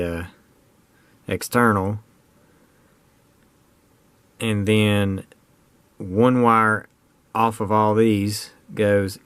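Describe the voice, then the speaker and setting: A man's voice: fairly low pitch, dry recording. An American man giving a casual, unscripted hands-on explanation of a homemade project in a plain-spoken, folksy way. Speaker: hobbyist presenter demonstrating a homemade radio project.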